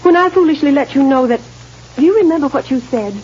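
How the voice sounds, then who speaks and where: A woman's voice: high-pitched, expressive, quick pace, darkish tone. An actress playing an earnest, refined woman who anxiously implores, in 1930s radio drama style. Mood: worried, pleading. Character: worried, earnest society woman pleading with her beloved. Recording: dull, noisy 1930s radio recording.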